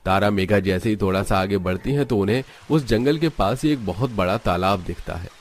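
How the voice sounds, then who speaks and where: Guy narrating a cartoon village tale, lowish voice, reading from script, slight noise in the back. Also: a little fast. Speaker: story narrator.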